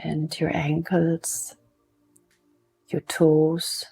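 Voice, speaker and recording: A woman leading a guided mindfulness meditation in a soft, soothing manner, unhurried and leaving long pauses between instructions. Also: sits low, completely flat delivery, creaky, soft background music.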